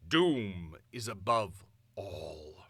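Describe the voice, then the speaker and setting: A man performing a grandiose supervillain dictator's monologue, pompous and deliberate, addressing the world in imperious, theatrical declamation. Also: deep voice, very animated, bright, forceful tone, husky, no room sound, full character voice. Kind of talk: dramatic villain monologue.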